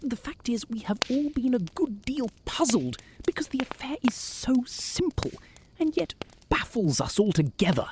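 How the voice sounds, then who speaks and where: A woman's voice: fairly high pitch, sing-song, moderate pace, darkish tone, slight background noise. A woman playing a blustering, easily amused male police prefect in an audio drama. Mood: puzzled.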